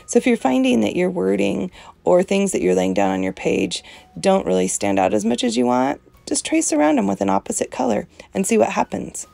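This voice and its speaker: A woman's voice: mid-range voice, sing-song, brisk, gravelly, warm tone, no room sound. A woman hosting an art journaling video, chatting earnestly and emphatically about faith, with a strong voice and frequent laughs. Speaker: host of an art journaling channel. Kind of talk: casual devotional chat while art journaling.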